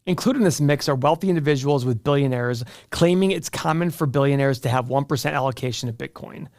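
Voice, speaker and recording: A man's voice: medium pitch, fast talker, a little mellow, no room sound, studio quality. An American man hosting an investing channel, giving opinionated finance commentary in an emphatic, argumentative way straight to the viewer.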